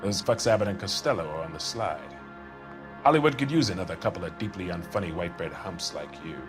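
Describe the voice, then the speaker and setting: A sneering 40s crook informant, lowish voice, insulting, music behind him. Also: male voice, expressive, brisk. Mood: contemptuous. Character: foul-mouthed, sneering 1940s criminal informant. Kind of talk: video game cutscene acting.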